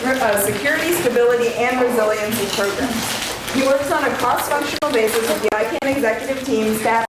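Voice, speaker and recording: A woman with a fairly high voice gives a formal, scripted welcome as host of a talk. The room is very echoey around her. There is clear noise in the background. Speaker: executive director introducing a speaker. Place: crowded lecture room.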